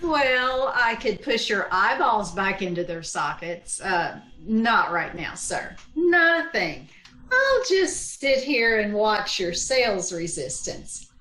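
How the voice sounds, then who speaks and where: A woman's voice: mid-range voice, sing-song, takes it slowly, bright, forceful tone, exaggerated voice. A woman playing a wry, wisecracking schoolteacher in an old-time radio sitcom, with a dry, sardonic delivery. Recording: roomy, echoey video-call recording.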